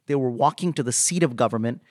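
Male interview guest, labor organizer type, average pitch, measured and halting narrative delivery, dry recording. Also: darkish tone, no echo. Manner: halting, measured storytelling with pauses. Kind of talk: recounting a story in an interview.

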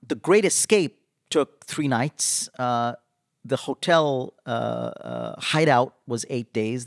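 Male interview guest, labor organizer type, average pitch, measured and halting narrative delivery, dry recording. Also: no echo. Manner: halting, measured storytelling with pauses. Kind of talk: recounting a story in an interview.

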